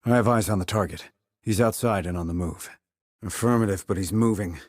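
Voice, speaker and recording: An actor playing a terse, dry, confident military sniper in a video game, with a husky voice and clipped, controlled reports. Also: male voice, low-pitched, a little fast, a little mellow, no room sound. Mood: businesslike. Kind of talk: scripted military game dialogue.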